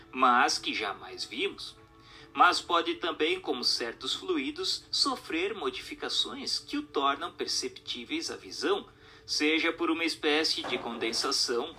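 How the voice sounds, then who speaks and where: A man's voice: on the high side, sharp tone. A Brazilian man reading a book aloud as scripted narration, in a formal, didactic manner. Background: background music.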